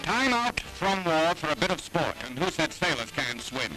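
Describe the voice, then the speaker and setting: Male 1940s newsreel announcer, American, higher register, dramatic delivery, music underneath. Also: big pitch swings, normal speed, bright, forceful tone, rough recording. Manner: dramatic, declamatory. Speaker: newsreel narrator. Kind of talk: 1940s newsreel scripted narration.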